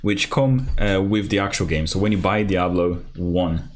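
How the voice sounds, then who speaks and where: A man from Brazil, Portuguese his first tongue, hosts a relaxed programming livestream and talks through the setup. His voice sits very low, and he keeps trailing off and restarting his sentences. Keyboard typing and a faint hiss can be heard behind him. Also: normal speed. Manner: casual, rambling with many fillers and restarts. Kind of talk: live coding tutorial, thinking aloud.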